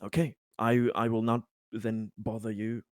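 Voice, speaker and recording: A man playing an earnest, conciliatory company man in a tabletop game, pleading his good intentions in halting, repeated phrases. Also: fairly low pitch, flat delivery, over a noisy crowd, close to an everyday voice. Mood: conceding, polite.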